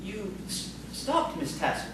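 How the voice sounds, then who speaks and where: A woman's voice: medium pitch, very animated, unhurried, fairly bright tone. A woman playing a polite, timid, bookish male schoolteacher in audio theatre, hesitant and prim. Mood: gently encouraging. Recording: distant, echoey, noisy stage recording.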